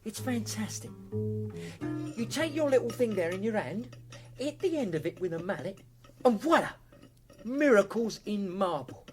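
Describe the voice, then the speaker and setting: A woman's voice: medium pitch, lively intonation, normal speed, long pauses, lightly acted. A woman playing a naive, gushing prisoner who plays guitar, dreamy and earnest, in a comic radio play. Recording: guitar music under the voice.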